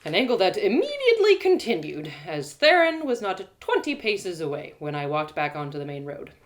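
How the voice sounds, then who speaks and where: A woman narrating a fantasy tale dramatically in the first person, with a theatrical, expressive storyteller's manner. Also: lowish voice, normal speed. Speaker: storyteller and host.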